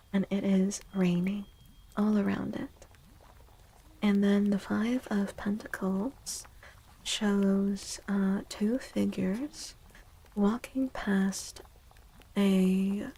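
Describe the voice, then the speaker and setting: A woman gives a soft ASMR reading in a mellow, breathy voice that often drops to a whisper. She goes slowly, leaving long pauses between phrases. Her delivery is gentle and soothing. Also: mid-range voice, rough recording. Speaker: ASMR tarot reader.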